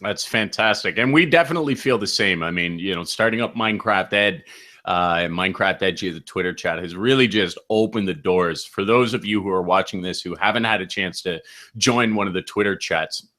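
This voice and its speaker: A man's voice: low-pitched, brisk, pushed and bright. A man hosting a casual video chat, speaking in an upbeat, enthusiastic way. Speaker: host, co-founder of the chat.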